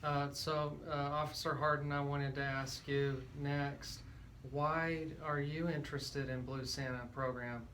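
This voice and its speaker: Higher-voiced man interviewing casually. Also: little pitch movement, a little slow, a bit bright, a little hiss or hum. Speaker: radio host, interviewer.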